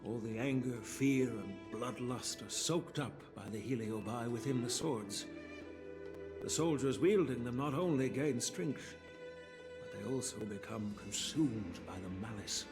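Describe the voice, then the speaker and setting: A man playing a grave, regretful old master swordsmith and grandfather in a game, speaking in a measured, formal way. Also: mid-range voice, lightly acted. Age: older. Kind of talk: scripted game dialogue, solemn storytelling. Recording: background music under the voice.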